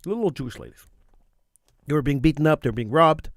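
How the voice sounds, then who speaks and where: A middle-aged man with a fairly high voice delivers a fervent talk radio monologue. He hammers his points by repeating phrases, and a slight cold wears on his voice. The studio microphone sound is dry and close. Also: animated, fast, no room sound. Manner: emphatic, repeats phrases for stress. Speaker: radio talk show host.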